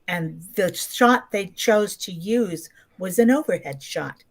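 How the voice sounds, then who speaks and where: A woman's voice: on the low side, expressive, takes it slowly, slightly rough. A woman in her seventies telling stories casually as an interview guest, emphatic and often acting out what people said. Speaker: podcast guest, actress.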